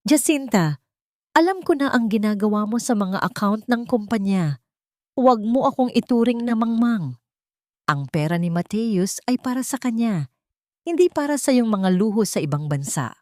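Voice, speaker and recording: A woman's voice: a little high, animated, moderate pace, a little mellow, no echo, pitched above the performer's own voice, natural voice. Wealthy older patriarch for audiobook drama, played by a female narrator: accusing, angry, fearful, very dry recording. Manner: accusing, stern. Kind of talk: dramatic audiobook character reading.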